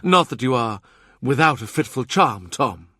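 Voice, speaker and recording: A man voicing a worldly older cardinal with a dry, teasing, smoothly persuasive manner in an audiobook. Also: mid-range voice, expressive, unhurried, pushed and bright, no echo. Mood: wry teasing.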